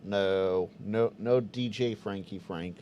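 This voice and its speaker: A man's voice: fairly low pitch, slow, highs cut off, a little hiss or hum. A man hosting a live ham radio Q&A stream, chatting casually with viewers, often restarting his words and sounding out Morse code.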